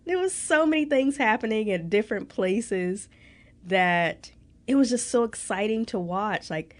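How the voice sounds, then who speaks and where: A woman's voice: mid-range voice, lively intonation, a little slow. A young woman co-hosting a podcast in casual chat, with a nasal, congested voice, laughing often. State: congested nose. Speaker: podcast co-host.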